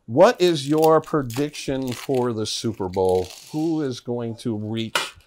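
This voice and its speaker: Middle-aged guy cooking on a kitchen set, chatty football talk, mid pitch. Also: animated, takes it slowly, background noise. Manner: chatty, upbeat sports talk. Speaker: cooking show host. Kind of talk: casual chat while cooking.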